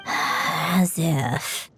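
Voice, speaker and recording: A woman's voice: sits low, very slow, a bit bright, faint music behind, full character voice. A woman voicing a prickly, proud, easily flustered young woman in a video game. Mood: curt, dismissive.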